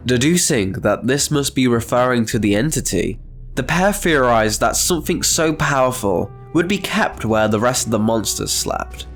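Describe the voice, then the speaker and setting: A man's voice: medium pitch, expressive, normal speed. A man narrating a scripted story as the host of a channel. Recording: faint music behind the voice.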